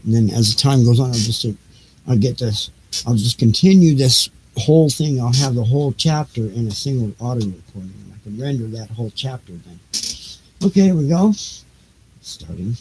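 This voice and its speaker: A man chatting casually and haltingly, half to himself, as he fiddles with equipment for a live stream. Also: mid-range voice, expressive, a little mellow. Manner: muttering to himself, distracted, halting. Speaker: audiobook reader and live streamer. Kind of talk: casual rambling tech chat while setting up a live stream. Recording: noisy live home-studio recording with clicks.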